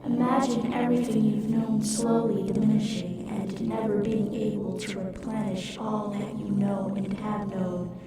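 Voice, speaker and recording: A woman voicing a weary, mournful, starving alien creature in an audio drama, plaintive and drawn-out, with a dreamlike echo. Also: medium pitch, level tone. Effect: heavy dreamlike echo. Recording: very echoey, distant, under loud music.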